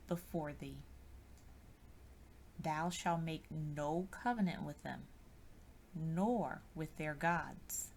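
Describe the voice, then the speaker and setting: A woman reads scripture aloud in a solemn, measured and deliberate manner, as a scripted narration. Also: sits low, slight background noise. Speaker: scripture reader.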